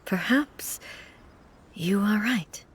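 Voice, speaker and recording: A woman's voice: mid-range voice, extremely slow, a little hiss or hum. An actress playing a composed, resolute female shogun in a video game, formal and stately in her delivery. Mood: composed, solemn. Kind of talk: scripted video game voice acting.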